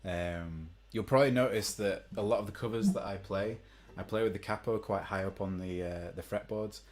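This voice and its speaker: A young man with a deep voice, chatting casually to live-stream viewers between songs, rambling with many ums. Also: moderate pace. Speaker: musician hosting a live stream. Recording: faint guitar behind.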